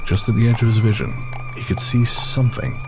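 A man narrating a western horror story in a measured, steady way. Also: medium pitch, over music.

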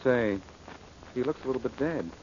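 An actor playing a cocky, unflappable, wisecracking detective in an old-time radio drama, with a glib, teasing manner. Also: male voice, medium pitch, normal speed, a little mellow, close to an everyday voice. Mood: breezy, teasing. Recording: muffled, noisy old radio broadcast recording.

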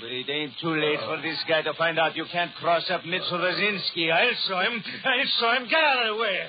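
A cruel, hot-tempered American safecracker, played by a male actor, talking in taunting gangster slang with a fairly high voice. It is 1930s radio acting on a muffled, very noisy old recording. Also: a little fast, natural voice. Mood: furious. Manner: slangy, taunting, menacing tough talk.

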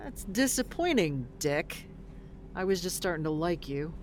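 Streetwise, skeptical woman, blunt colloquial talk, unhurried pace, lowish voice, audio drama with faint background ambience. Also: big pitch swings, darkish tone, slight background noise. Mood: blunt, bitter. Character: blunt, streetwise, world-naive female bus passenger. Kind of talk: audio drama acting, conversational.

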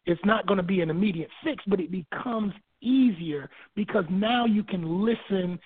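A man from Barbados, a guest author in a phone-in interview, speaking in a measured way and repeating points for emphasis. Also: high-pitched, husky, no room sound. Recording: tinny phone line, dry.

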